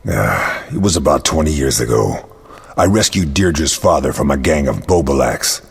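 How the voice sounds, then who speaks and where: Grave game monster hunter, extremely deep raspy voice, long cave-like reverb. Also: male voice, lively intonation, moderate pace, heavily acted. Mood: grave, measured. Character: grave, battle-hardened adult male monster hunter. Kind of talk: video game dialogue acting.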